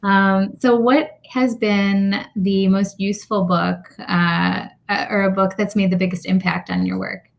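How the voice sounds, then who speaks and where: Chatty woman interviewer with vocal fry. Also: medium pitch, steady tone, a little slow, hardly any pauses. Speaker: meetup host, interviewer. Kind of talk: casual video-call interview. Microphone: video call.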